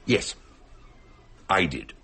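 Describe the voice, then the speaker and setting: An actor playing an elderly, eccentric detective doctor in a radio drama, genial and theatrical with a gravelly, husky voice. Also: male voice, very slow, sharp tone. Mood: recounting. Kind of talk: radio drama acting and narration.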